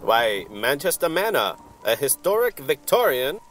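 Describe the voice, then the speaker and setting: Prissy, servile desk clerk, raised pitch, courteous and formal, radio noir with music underneath. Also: male voice, big pitch swings, a little slow, fairly bright tone. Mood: unctuous welcome. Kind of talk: old-time radio drama acting.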